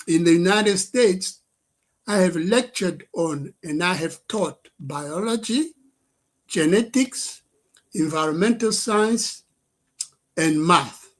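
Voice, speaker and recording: An older man whose first language is French gives a slow, deliberate address, repeating his points for emphasis. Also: sits high. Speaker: scholar and activist addressing leaders. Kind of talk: livestream address.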